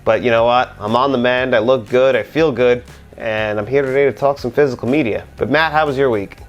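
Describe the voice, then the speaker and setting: Talkative podcast co-host, male, getting over the flu, a bit raspy, eager. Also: medium pitch, steady tone, a little fast, soft background music. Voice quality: slightly raspy. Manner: enthusiastic, talkative. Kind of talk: casual podcast chat.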